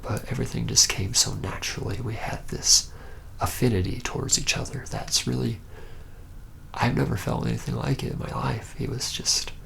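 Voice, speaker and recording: A man playing a shy, reluctant young vampire in an ASMR roleplay, speaking softly and haltingly with wry, conversational hesitation, often near a whisper. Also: on the low side, gravelly, a little hiss or hum. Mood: fond, wistful. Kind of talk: ASMR roleplay, soft-spoken rambling confessional.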